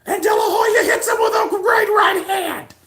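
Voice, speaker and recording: A shouting high-pitched fight commentator. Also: male voice, sing-song, moderate pace, roomy sound, full character voice. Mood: excited. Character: excitable boxing TV commentator, adult man, booming.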